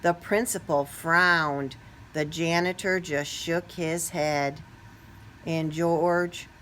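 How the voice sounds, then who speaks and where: Woman, expressive picture-book reader for young children. Unhurried, bright delivery that leans on key words. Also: deep voice, a little hiss or hum. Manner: expressive, stretches key words, asks listeners questions. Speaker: storybook reader. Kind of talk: animated children's picture book read-aloud.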